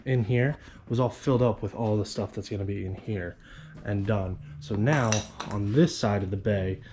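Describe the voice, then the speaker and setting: A man's voice: fairly low pitch, normal speed. A man in his twenties chatting casually to the camera as a vlogger, rambling and circling back over his own words. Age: 20s. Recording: loud vehicle and ambient noise.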